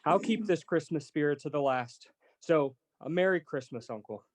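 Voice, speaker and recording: An actor playing a good-natured young nephew in a radio play, speaking earnestly and kindly. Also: male voice, on the high side, animated, moderate pace, natural voice. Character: cheerful, warm-hearted young nephew.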